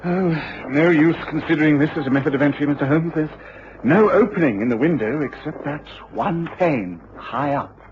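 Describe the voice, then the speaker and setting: An actor playing a helpful, worried college tutor in a radio drama, speaking formally and precisely. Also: male voice, fairly high pitch, normal speed, natural voice. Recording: muffled radio recording with faint hiss.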